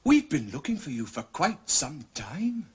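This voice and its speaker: An actor playing a probing Scottish police officer with a rough, husky voice in a radio drama. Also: male voice, fairly high pitch, sing-song, a little slow, heavily acted. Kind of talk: British radio drama acting.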